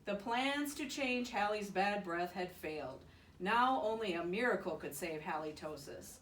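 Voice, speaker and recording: A woman reading a children's bedtime story aloud in an expressive, playful way. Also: mid-range voice, unhurried. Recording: roomy, distant mic with faint noise.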